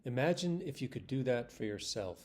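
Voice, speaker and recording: A man's voice: mid-range voice, very animated, a little fast, a little mellow. A man reading his own poem aloud in short phrases. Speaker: poet reading own work. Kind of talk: poetry reading.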